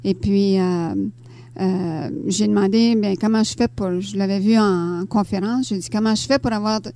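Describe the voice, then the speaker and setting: Older french canadian lady getting interviewed, mellow voice, lots of ums and pauses. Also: mid-range voice. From Ontario, Canada. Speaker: interview guest, author and teacher. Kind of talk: conversational interview answers. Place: radio studio.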